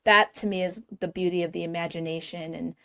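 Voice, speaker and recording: A woman's voice: on the low side, steady tone, quick pace, creaky, a bit bright. A woman hosting a fan podcast, chatting eagerly with her guest and laughing often. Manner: bubbly, laughs often, enthusiastic. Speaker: podcast host. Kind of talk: casual fan interview.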